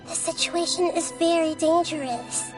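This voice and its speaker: Tiny, very high-pitched wise child goddess. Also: female voice, music in the background, poor audio. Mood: calm, probing. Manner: measured, earnest, philosophical. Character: small childlike female god, wise, gentle, resolute. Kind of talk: video game voice acting.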